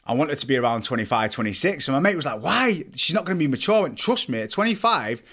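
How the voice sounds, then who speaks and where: A man's voice: on the high side, sing-song, fairly bright tone. A man in his thirties from Manchester, with a Mancunian accent, chatting eagerly as a podcast guest. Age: 30s. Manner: eager, laughing, quick chatter.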